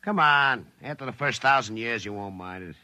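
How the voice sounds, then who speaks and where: Gruff, hard-edged American quarry laborer. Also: male voice, mid-range voice, animated, moderate pace, bright, forceful tone, no echo. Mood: sardonic, matter-of-fact. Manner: gruff, slangy, sardonic. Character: gruff, cynical male forced-labor quarry worker bossing a newcomer. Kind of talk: 1950s American radio drama acting. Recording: vintage 1950s radio recording.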